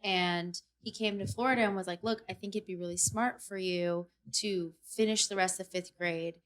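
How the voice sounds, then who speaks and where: A woman's voice: on the low side, moderate pace. A young woman from Iran, a podcast guest chatting casually and acting out quotes as she tells stories. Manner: animated storyteller, acts out quotes. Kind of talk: casual chat.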